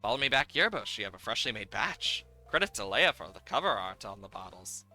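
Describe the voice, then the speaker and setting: A man's voice: on the low side, big pitch swings, fairly quick, bright, forceful tone, soft background music, full character voice. A man reading the lines of a friendly, inviting cider brewer from a farming video game. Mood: welcoming. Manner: neighbourly, inviting.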